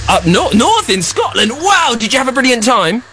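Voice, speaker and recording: A man's voice: very high voice, moderate pace, faint music behind. A man hosting a children's radio show, running a quiz with a young caller in a playful, teasing, boastful way. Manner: teasing, boastful, exaggerated excitement.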